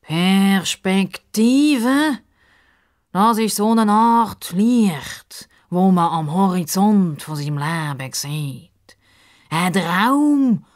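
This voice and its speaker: Lady doing a pompous dreamy boy crocodile for a kids story, swiss german, talks real slow and grand. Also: medium pitch, expressive, a little mellow. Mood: grandly dreamy. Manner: pompous, drawn-out, deliberate. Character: self-important, dreamy male crocodile, grandly talkative.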